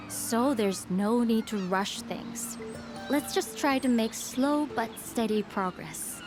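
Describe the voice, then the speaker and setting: An actress playing a gentle, earnest, caring young townswoman in a video game, polite and solicitous. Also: a little high, close to an everyday voice. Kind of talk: video game cutscene acting.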